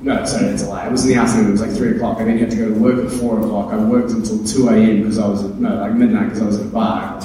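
Low-voiced young man telling a rambling anecdote. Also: little pitch movement, very fast, few pauses, dark tone. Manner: self-deprecating, rambling, corrects himself. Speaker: actor on a convention panel. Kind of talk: casual anecdote on a panel. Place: large convention hall. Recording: distant, echoey hall with noise.

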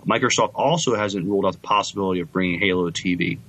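Kinda low voiced guy on a podcast, halting, casual news chat. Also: fairly bright tone, lo-fi sound. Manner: hesitant, halting delivery. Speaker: podcast co-host.

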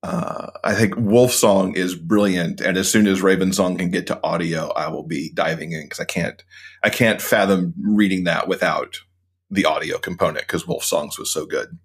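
A man's voice: low voice, moderate pace, slightly rough. A man as an interview guest, talking about books in an earnest, enthusiastic, casual way. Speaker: podcast co-host, guest.

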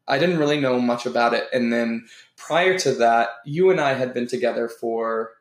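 A man in his thirties from the Midwest, a podcast guest, speaking casually and haltingly with frequent restarts. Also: mid-range voice, reverberant. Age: 30s. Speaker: podcast guest, gym owner. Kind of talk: casual interview talk.

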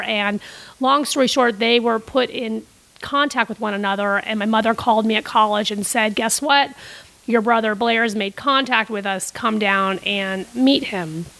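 A woman's voice: on the high side, vocal fry, a bit bright, poor audio. A middle-aged woman who grew up in Costa Rica, telling long stories as a podcast guest with many restarts and fillers. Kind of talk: casual interview storytelling.

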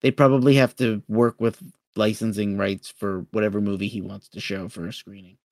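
A man co-hosting a podcast in casual chat, halting and repeating words as he starts his sentences. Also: fairly low pitch, steady tone, a little mellow, dry recording. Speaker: podcast co-host.

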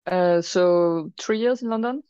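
A young woman from France answers questions hesitantly, pausing on many fillers. Her voice is smooth and clear. The recording is dry and a little dull. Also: fairly low pitch, mellow, dry recording. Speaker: guest, chess boxer and painter. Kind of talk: casual interview chat.

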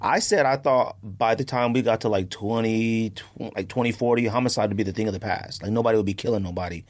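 A man with an American accent chatting casually as a podcast co-host, telling stories in an animated, slangy way with frequent chuckles. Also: fairly low pitch, fast talker. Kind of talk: casual chat with anecdotes.

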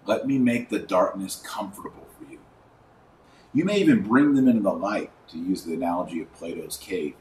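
Deep-voiced male commentator in an echoey room. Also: expressive, darkish tone. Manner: emphatic, didactic, rhetorical repetition with deliberate stresses. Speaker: podcast host and religious commentator. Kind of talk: preachy commentary to camera or microphone. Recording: roomy echo, distant mic, faint noise.

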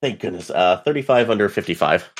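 A man's voice: lowish voice, lively intonation, fast, a little hiss or hum. A man playing a tabletop game, chatting casually as he takes his turns.

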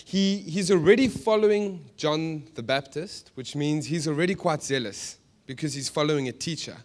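A South African man preaching conversationally to a congregation, animated, with joking asides and rhetorical questions. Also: a little high. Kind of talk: sermon to a live congregation, conversational storytelling.

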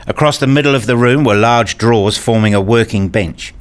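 A man's voice: fairly low pitch, a little fast, smooth, natural voice. A man playing an earnest married father and hobbyist, narrating and acting his scripted story in a radio play. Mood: descriptive. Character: eager married father and hobbyist, a little rueful. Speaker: narrator and main character.

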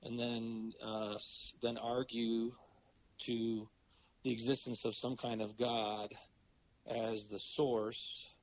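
A middle-aged man with a cold and a raspy voice, talking as a podcast guest in a halting way with many fillers. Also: medium pitch, flat delivery, rough recording. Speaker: podcast guest, philosophy professor. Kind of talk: casual interview talk.

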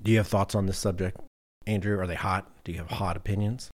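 A man's voice: low-pitched, steady tone, fast talker. A man hosting a podcast in casual conversation, telling anecdotes in a self-deprecating way. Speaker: podcast host.

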